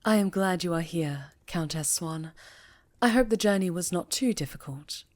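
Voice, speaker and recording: A woman playing a stern, commanding witch who leads a coven, speaking formally and with measured authority in an ASMR fantasy roleplay. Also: on the low side, darkish tone, natural voice. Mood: gracious, formal. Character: stern, commanding female high enchantress leading a witch coven.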